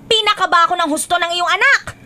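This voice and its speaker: A woman's voice: extremely high pitch, fast, sharp tone, full character voice. A woman playing a stern, exasperated middle-aged aunt in a Filipino radio drama, scolding with melodramatic emphasis. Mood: weary, complaining.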